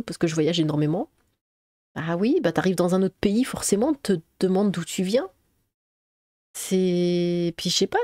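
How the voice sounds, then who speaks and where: A woman's voice: medium pitch, dark tone, no room sound, studio quality. A woman from Alsace with a French accent, reading an article aloud as an online commentator and breaking in with sarcastic, exasperated asides. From Alsace, France.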